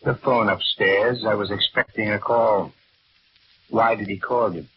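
Actor as low-voiced menacing radio killer. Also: male voice, steady tone, unhurried, noisy background, close to an everyday voice. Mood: coolly menacing. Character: coolly menacing, falsely courteous killer on the run. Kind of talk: 1940s-50s radio drama acting. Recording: dull old radio recording, music behind.

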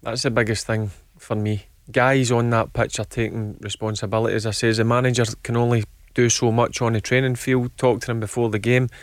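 A man as a football pundit, steady and plain-spoken, hammering home his points in a studio discussion. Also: mid-range voice, fairly quick, few pauses, no echo.

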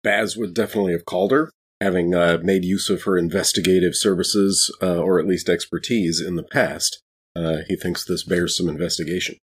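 A man's voice: low-pitched, fairly even intonation, fairly quick, slightly rough. A man playing in a tabletop game, talking casually and describing what his character does.

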